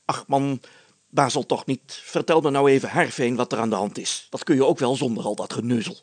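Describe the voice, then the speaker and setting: A man's voice: medium pitch, fairly quick, dry recording, lightly acted. A man voicing a pompous, jovial village mayor who speaks emphatically and hems solemnly in a storybook reading. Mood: stern, confidential.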